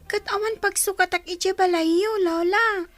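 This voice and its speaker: A woman's voice: extremely high pitch, fairly even intonation, moderate pace, music in the background. A woman playing an earnest, anxious young schoolgirl in a radio drama, with a pleading, questioning manner. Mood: worried.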